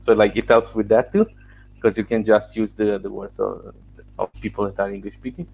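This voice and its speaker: Gravelly-voiced man explaining. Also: lowish voice, little pitch movement, a little fast, a little mellow, rough recording. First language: Italian. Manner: explanatory, walks through examples step by step. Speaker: guest, software founder. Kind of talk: casual interview answers.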